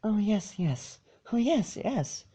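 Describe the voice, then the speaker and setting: A man voicing a timid, gentle female pony in a storybook reading, with a small soft voice and a meek, hesitant, polite manner. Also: high voice, big pitch swings, slow pace, slightly rough, pitched above the performer's own voice, heavily acted. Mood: shaken, polite.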